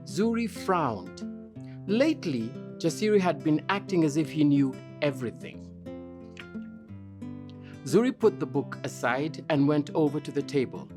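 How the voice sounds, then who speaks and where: A woman's voice: low-pitched, lively intonation, unhurried. A woman narrating a scripted children's story as a storybook narrator, with music behind her.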